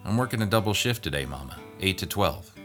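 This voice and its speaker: Storybook son, middle-aged, done by a male narrator: low voice, put-upon, resigned. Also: animated, fast, a bit bright, faint music behind. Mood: defensive, resigned. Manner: mumbling, resigned, dryly sarcastic.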